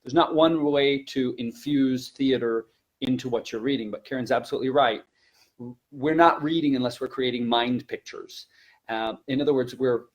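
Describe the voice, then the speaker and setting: A man with a mid-range voice gives an informal teaching presentation. He explains things conversationally and practically, like a working language teacher talking to colleagues. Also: medium pitch.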